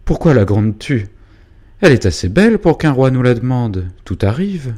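A man's voice: low voice, measured pace, warm tone. A man doing a feverish, stammering father. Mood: excited. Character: emotional, excitable middle-aged father.

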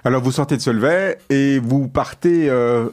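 A man's voice: medium pitch, unhurried, a little mellow. Joking male radio co-host. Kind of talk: radio interview.

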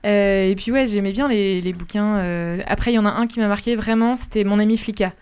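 A woman in her twenties chatting casually on a radio show, rambling in a relaxed way. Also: mid-range voice, brisk, smooth. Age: 20s. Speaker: radio team member. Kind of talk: casual chat.

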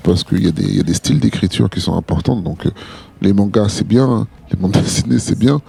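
A man co-hosting a podcast, giving measured advice with frequent hesitations and restarts. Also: on the low side, creaky, mellow, breathy edge. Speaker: podcast co-host. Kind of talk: casual podcast discussion.

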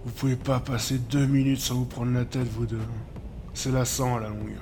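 Ageing gang driver played by a man. Gruff, cynical, slangy and grumbling, for an audio drama. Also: medium pitch, fairly even intonation, normal speed, noisy background, close to an everyday voice. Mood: irritated, needling. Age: older. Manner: blunt, grumbling, casual slangy talk. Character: gruff, cynical older male chain-smoking gang driver.

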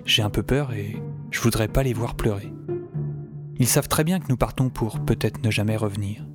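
A man's voice: lowish voice, normal speed, over loud music, close to an everyday voice. A man playing Oliver, a chummy, self-doubting young time-travel hero who narrates and speaks colloquially in a French audio drama. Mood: anxious, confiding. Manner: colloquial, hesitant, joking.

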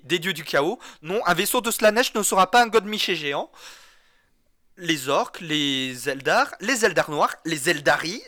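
A man's voice: high-pitched, pushed and bright. A man chatting casually and enthusiastically about games as a podcast co-host, geeky and slangy. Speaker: co-host of a video game podcast. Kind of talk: casual gaming chat.

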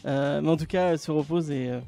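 A man's voice: a little high, normal speed, vocal fry, mellow. A man hosting a casual podcast discussion, hesitant with many fillers, handing questions to guests. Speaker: podcast host. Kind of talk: casual chat.

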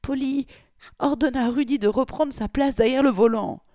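A woman reading aloud voices a frightened young woman who pleads in a high, pressed voice. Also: normal speed, dark tone, no room sound, clean studio sound, natural voice. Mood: pleading, panicky.

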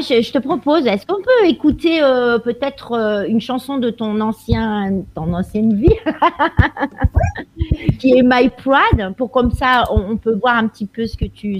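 A woman hosting a casual interview in a chatty, effusive way. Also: on the high side. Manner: effusive, laughs easily. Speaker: interviewer, host. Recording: noisy recording with clicks.

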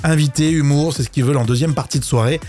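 A man's voice: fairly high pitch, steady tone, quick pace, darkish tone. A man hosting a French radio show, chatting casually and running quiz games with a co-host, laughing often.

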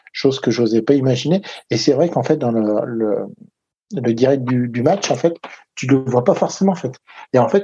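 A man's voice: medium pitch, mellow, slight background noise. A man on a rugby talk show, chatting casually and hesitantly with many fillers and restarts. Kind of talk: casual sports chat. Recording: dull lo-fi sound.

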